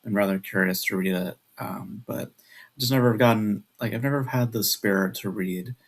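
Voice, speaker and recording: A man's voice: on the low side, normal speed. A man in his twenties chatting casually in a vlog, keeping his voice down and rambling hesitantly. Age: 20s. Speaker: video creator. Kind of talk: casual video chat. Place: at home at night.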